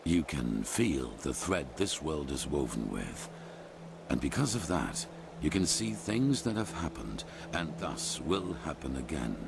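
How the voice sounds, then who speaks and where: An actor playing a solemn, imperious older leader of a religious order in a fantasy game, speaking in a measured, formal way. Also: male voice, a bass voice, very animated, gravelly, noisy background, exaggerated voice. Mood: solemn, persuasive. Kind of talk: scripted fantasy video game dialogue. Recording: loud music behind.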